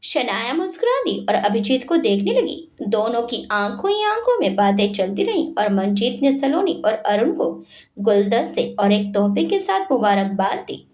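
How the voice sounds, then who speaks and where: A woman narrating a romantic story in an expressive, read-aloud way. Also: a little high, reverberant.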